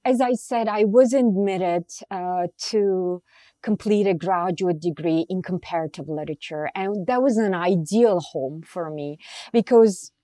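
A woman from Bulgaria, a literary translator, answering interview questions in a reflective, measured way with frequent pauses and ums. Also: on the low side, warm tone, no echo.

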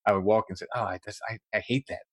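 Low-voiced man telling a strange story. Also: fairly even intonation, no echo. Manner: halting, many restarts and fillers, occasional laughs. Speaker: guest recounting experiences. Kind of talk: casual interview storytelling.

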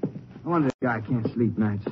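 An actor playing a weary, grumbling young cowboy boxer with an in a 1950s western radio drama. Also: male voice, medium pitch, a little fast, lightly acted. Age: young adult. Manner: drawling, grumbling. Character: young, worn-out, surly cowboy prizefighter. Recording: dull, hissy old radio recording.

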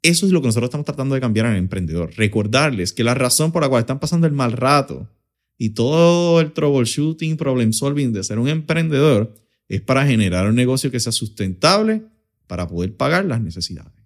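A man's voice: mid-range voice, expressive, fairly quick. A man with a Puerto Rican Spanish accent, an accelerator director answering interview questions in an explanatory way, mixing in English business terms. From Puerto Rico. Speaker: startup accelerator director, guest.

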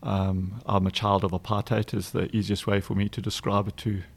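Low gravelly South African man. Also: level tone, normal speed. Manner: warm anecdotal, frequent ums and restarts. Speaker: guest, charity executive director. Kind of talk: relaxed storytelling interview.

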